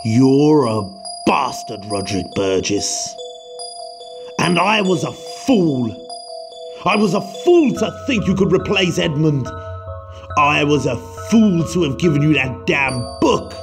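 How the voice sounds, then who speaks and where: A man's voice: on the high side, very animated, takes it slowly, creaky, music in the background, full character voice. A man playing a bitter, despairing museum professor, anguished and formal, in a comic-book drama.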